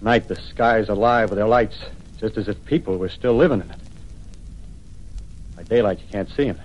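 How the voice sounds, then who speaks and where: A man's voice: on the low side, normal speed, natural voice. A man acting in a 1930s radio drama as an embittered, fanatical militiaman who survived an invasion, speaking in colloquial American bursts. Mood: bitter, defeated. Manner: colloquial, clipped, ranting American everyman speech. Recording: muffled 1938 radio broadcast recording.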